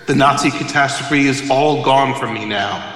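An actor playing the solemn, preachy ghost of a dead mogul in an audio play. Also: male voice, mid-range voice, moderate pace, fairly bright tone, close to an everyday voice. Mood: solemn, serene. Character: ghost of a dead corporate mogul, cleansed and unrepentant. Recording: echoey hall with long reverb.

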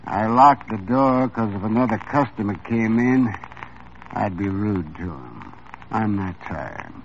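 Brief: showy, fussy shopkeeper, lively swooping delivery, 1950s radio drama, dull old broadcast sound. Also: male voice, fairly low pitch, unhurried. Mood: effusive. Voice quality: gushing, showy. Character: fussy, theatrical male cologne seller. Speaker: shop owner. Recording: muffled old radio recording with faint hiss.

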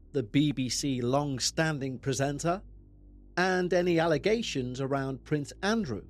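A man's voice: on the high side, darkish tone. Liverpool man narrating true crime. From England. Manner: dramatic, measured delivery. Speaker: podcast host.

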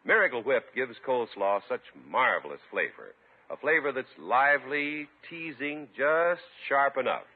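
A man's voice: mid-range voice, very animated, normal speed, husky. A male announcer reading a 1950s radio commercial with polished, persuasive salesmanship. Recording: tinny, narrow-band old radio recording.

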